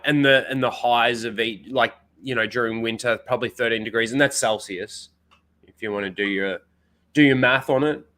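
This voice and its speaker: A man's voice: mid-range voice, a bit bright. A young man with a blocked nose, hosting a casual livestream, rambling with lots of fillers and greeting people in the chat. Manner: rambling, filler-heavy, reads out chat names. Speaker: live stream host.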